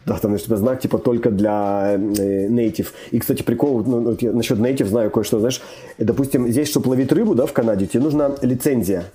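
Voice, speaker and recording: A man's voice: medium pitch, brisk, dark tone. A young man chatting casually as a guest, rambling with many fillers and restarts. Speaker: immigrant guest. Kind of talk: casual conversation.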